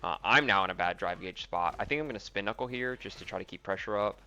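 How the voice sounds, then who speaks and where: A man's voice: low-pitched, fast, bright, forceful tone, faint music behind. A young man casually commenting on replays of his own fighting game match, thinking aloud with lots of ums and likes.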